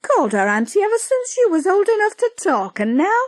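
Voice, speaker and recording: A woman voicing a sharp-tongued middle-aged village baker who scolds briskly and dismissively. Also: medium pitch, big pitch swings, no room sound, clean studio sound. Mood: scolding.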